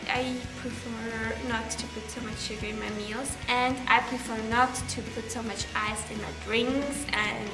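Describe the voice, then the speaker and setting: A teenage girl from Belarus, a native Russian speaker, answering interview questions in English with many fillers and restarts. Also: fairly high pitch. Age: teens. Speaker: exchange student. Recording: echoey room with music behind.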